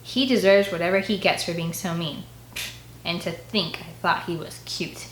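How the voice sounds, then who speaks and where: A woman narrating an audiobook in an expressive, first-person read-aloud style. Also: on the low side, moderate pace, slight background noise. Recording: echoey, roomy sound.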